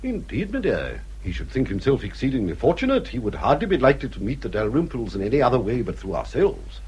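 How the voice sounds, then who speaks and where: An actor playing a vain, snobbish elderly English baronet in a period radio drama, blustering and pompous. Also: male voice, mid-range voice, wildly swooping pitch, quick pace, darkish tone, slightly rough, heavily acted, low-quality recording. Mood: self-important, boastful. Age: older.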